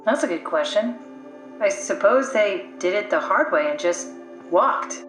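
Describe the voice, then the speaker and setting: A woman's voice: medium pitch, lively intonation, unhurried, close to an everyday voice. An actress voicing a pragmatic female scientist whose mind lives in a machine, with a dry, matter-of-fact way of explaining things in a video game. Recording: echoey room, music underneath.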